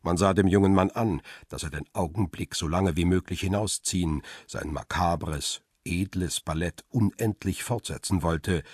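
A man playing a paparazzo who tells his own crime story in a radio drama, husky and measured in a literary way. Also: low-pitched, darkish tone, no echo, clean studio sound. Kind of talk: radio drama first-person narration and dialogue.

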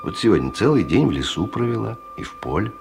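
An actor playing a grave, reflective inner voice in a radio play, measured and gently reproachful. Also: male voice, lowish voice, big pitch swings, vocal fry, slight background noise. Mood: musing. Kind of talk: theatrical radio play monologue. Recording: music under the voice. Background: music.

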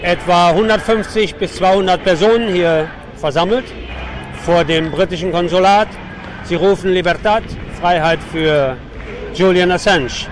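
A man with a fairly high voice reports for radio from a city street. Clear traffic noise surrounds him. His delivery is that of a live protest report, laced with opinionated, sardonic commentary. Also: measured pace. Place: outdoors on a city avenue at a demonstration.

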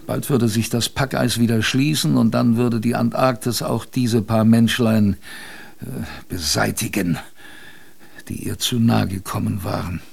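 A man's voice: lowish voice, steady tone, measured pace, gravelly, husky, heavily acted. A man playing a former polar explorer who recounts past hardships in a wry, conversational way, as narrator of a German radio drama. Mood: grave, reflective. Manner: wry, colloquial, thoughtful.

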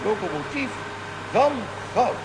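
An actor playing a fussy, stubborn, scholarly professor in a children's audio drama. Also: male voice, very high voice, a little slow. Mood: musing. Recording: clear background noise.

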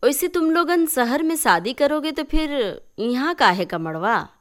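A woman's voice: high-pitched, lively intonation, pitched above the performer's own voice. Lady acting a gossipy rustic auntie from a story, knowing and teasing, drags out her words in village dialect. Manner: rural dialect, drawn-out emphatic phrases.

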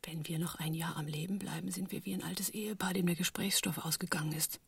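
A tense woman telling her story in a radio thriller. Her voice is low and very husky, with a gravelly creak. She speaks in a hushed, breathy near-whisper, as if hiding. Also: steady tone, fast talker, no echo. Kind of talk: radio drama acting and first-person narration.

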